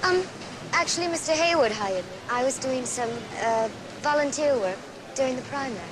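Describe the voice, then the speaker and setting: Young female secretary actress, audio drama: curt, impatient, evasive, slightly high-pitched, over noticeable traffic noise. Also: animated, normal speed. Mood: guarded. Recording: vehicle and outdoor noise behind.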